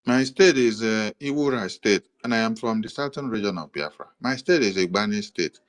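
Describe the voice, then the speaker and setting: A man with a Nigerian accent walks through a map in a measured, repetitive cadence, stopping now and then to correct himself. His voice sits on the low side. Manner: steady, repetitive listing with hesitations. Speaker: commentator presenting a map. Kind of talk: explanatory monologue.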